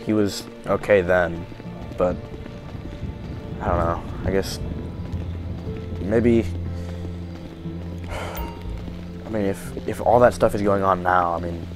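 A low-pitched male survivor, acted by a man in a game roleplay, earnest and unsure of himself. He talks casually and haltingly, hedging as he goes, with game music playing under his voice. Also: steady tone. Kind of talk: improvised roleplay acting in a video game skit.

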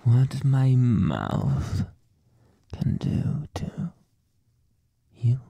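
A young man doing a whispered ASMR roleplay as a teasing boyfriend, talking slowly in breathy whispers with soft chuckles into a close microphone. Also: mid-range voice, big pitch swings, no echo, highs cut off.

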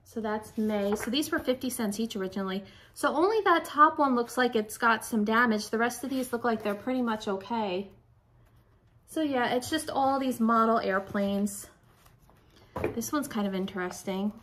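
Higher-pitched chatty woman, slightly breathless. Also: normal speed, fairly bright tone, a little hiss or hum. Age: 40s. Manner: rambling, self-correcting, addresses viewers directly. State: a little out of breath. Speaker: reseller showing flea market finds. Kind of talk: casual chatty haul vlog. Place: furnished room at home, indoors.

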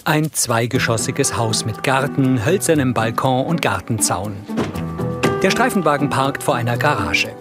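Male audio-description narrator, mid-pitched, scripted scene narration, music underneath. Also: animated, a little slow. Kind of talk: scripted present-tense narration.

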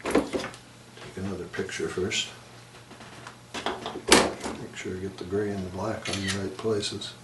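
A man's voice: sits low, flat delivery, slow pace, pauses often. A middle-aged man giving matter-of-fact, casual commentary as he works on a repair, thinking aloud in short remarks. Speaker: repair technician and presenter. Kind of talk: casual hands-on repair commentary while working. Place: indoor electronics repair workshop. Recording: roomy, distant mic, workshop noise.

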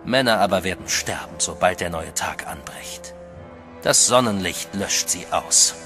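A man voicing a sinister, calm vampire master in a radio horror play, cold and measured, sometimes whispering, with faint music behind. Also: low voice. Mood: menacing. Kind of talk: German radio horror drama.

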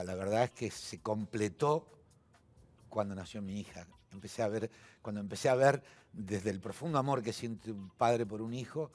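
Older Argentine man, low and emphatic. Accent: Argentine Spanish. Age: 70s. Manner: emphatic, hesitant with frequent fillers and restarts. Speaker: interview guest. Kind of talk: television interview. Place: television studio.

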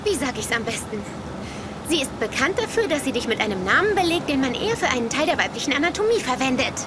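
A woman's voice: high voice, sing-song, fast. An actress playing a blunt, competitive, sarcastic young woman in a German sitcom audio play, snappy and mocking. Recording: noisy, with clear background ambience.

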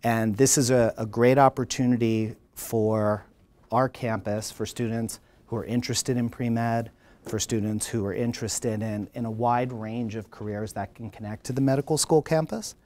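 A middle-aged man with a New Jersey accent stands before a lecture hall and introduces the next speaker. His delivery is earnest and animated, in a middle-pitched voice, picked up on a lapel mic. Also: moderate pace. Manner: earnest, animated, gesturing while talking. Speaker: college dean introducing a speaker. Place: large lecture hall, indoors.

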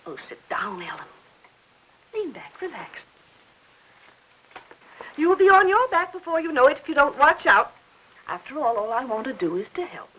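An actress playing a chatty, fussy, well-meaning middle-aged aunt in a 1950s Hollywood melodrama. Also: female voice, high-pitched, sing-song, normal speed, pauses often, a bit bright, muffled recording. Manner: talkative, fussing, gossipy. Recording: old 1950s film soundtrack.

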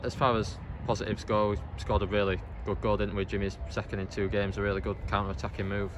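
A man's voice: on the low side, level tone, fast, background noise. A man interviewing a football manager after a match, asking plain questions that often end in tag questions. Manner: plain, leading questions ending in tags. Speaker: club media interviewer.

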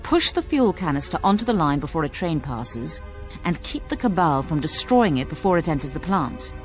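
Female military briefer: composed, instructive, lowish voice with lively intonation, game mission briefing. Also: normal speed, faint music behind.

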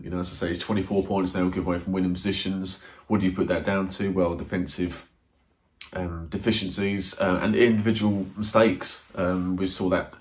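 A man, reviewing a football match to camera from a small room, hesitant with many ums and restarts. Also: sits low. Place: small indoor room, plain wall. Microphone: camera in front, seated.

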